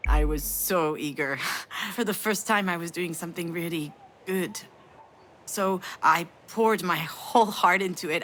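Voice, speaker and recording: A woman playing a sardonic, self-blaming yet tender mother in a dramatic video game, frank and emotionally expressive. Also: mid-range voice, a little hiss or hum, close to an everyday voice. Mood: tender, wistful. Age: adult.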